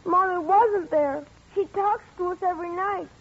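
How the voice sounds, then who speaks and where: A woman voicing a frightened, grieving young boy in an old-time radio drama, pleading and accusing. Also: moderate pace. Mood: uneasy. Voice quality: high childlike voice. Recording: dull lo-fi radio recording.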